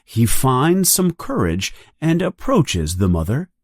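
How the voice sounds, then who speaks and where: Slow storyteller with wildly swinging intonation. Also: medium pitch, very animated, no echo, studio quality. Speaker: audiobook narrator. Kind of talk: scripted read-along storytelling.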